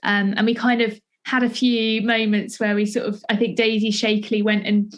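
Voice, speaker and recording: A woman's voice: mid-range voice, flat delivery, moderate pace. Young woman, southern English, podcast interviewer, mid-range voice, informal and searching in her phrasing. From Essex, England. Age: 20s. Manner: hesitant, searching phrasing with many hedges. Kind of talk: casual interview chat.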